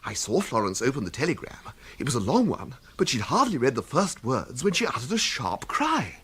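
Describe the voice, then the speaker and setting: A bleating, lovesick English poet in a radio comedy. His upper-class voice is husky and swoops extravagantly, pompous and precise one moment, fluttery with excitement the next. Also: mid-range voice, fairly quick, exaggerated voice. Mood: pompous, excited. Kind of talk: radio comedy drama acting.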